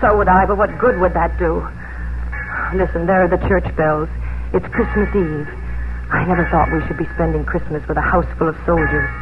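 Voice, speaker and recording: A woman's voice: lowish voice, fairly even intonation, normal speed, natural voice. Woman acting proud colonial mistress, earnest, dramatic 1950s radio delivery, music behind, muffled vintage broadcast. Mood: tense, hushed.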